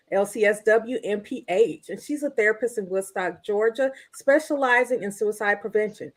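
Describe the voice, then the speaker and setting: A woman co-host reading statistics and a guest introduction in a crisp, formal way. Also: mid-range voice.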